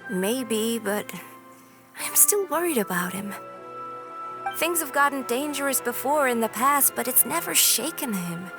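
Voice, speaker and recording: A woman's voice: fairly high pitch, expressive, unhurried. A woman voicing a worried young female dojo student in a video game, anxious and hesitant. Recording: music behind the voice.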